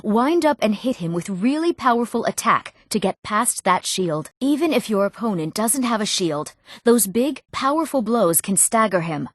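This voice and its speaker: Eager young woman , played by an actress, for fantasy game dialogue; earnest, medium pitch, dry studio sound. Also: expressive, normal speed, no echo, lightly acted. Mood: instructive. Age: young adult. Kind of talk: video game character dialogue.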